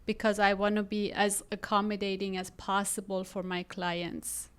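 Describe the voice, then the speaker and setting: A woman's voice: mid-range voice, monotone, moderate pace, fairly bright tone, clean tone. A woman from Iran with an Iranian accent, speaking conversationally as an interview guest about her work. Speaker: podcast guest, real estate agent.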